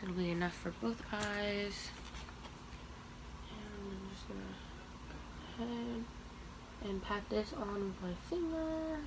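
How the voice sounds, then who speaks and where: Slow-talking young woman with a sniffly voice. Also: medium pitch, noisy background. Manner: slow, chatty, talking while applying makeup. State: sniffly from allergies. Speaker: beauty vlogger. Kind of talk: makeup tutorial talk.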